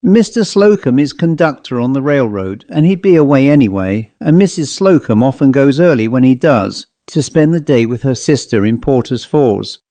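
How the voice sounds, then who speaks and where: A man's voice: medium pitch, animated, moderate pace, hardly any pauses, mellow, dry recording, natural voice. A man voicing a stern, guarded country housewife in a storybook reading, curt, dismissive and evasive. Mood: cool, dismissive. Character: stern, guarded rural New England housewife.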